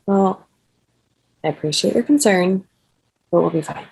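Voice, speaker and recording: A woman's voice: fairly low pitch, measured pace, gravelly, a little mellow, slightly rough, exaggerated voice, poor audio. A woman playing a polite, guarded character at a screenplay table read, courteous and understated.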